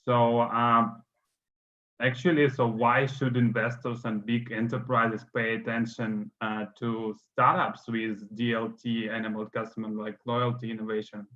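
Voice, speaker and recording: Man moderator, mid-pitched, halting with fillers, lo-fi call sound. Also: flat delivery, a bit bright. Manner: hesitant, many fillers. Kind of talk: moderating an online panel. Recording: dull lo-fi video call audio.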